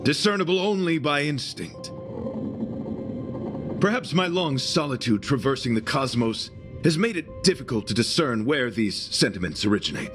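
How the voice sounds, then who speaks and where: Actor as noble knight, game character, expressive intonation, formal, florid, devoted, solemn, music behind. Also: male voice, medium pitch, moderate pace, close to an everyday voice. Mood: solemn devotion. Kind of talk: video game voice acting. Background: prominent music.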